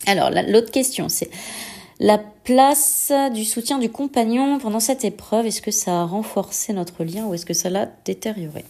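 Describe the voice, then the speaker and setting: A young adult woman with a slight head cold, giving an intimate personal testimony in a hesitant, reflective way with frequent pauses. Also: mid-range voice, darkish tone. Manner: hesitant, reflective, pausing often. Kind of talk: intimate personal testimony, answering set questions.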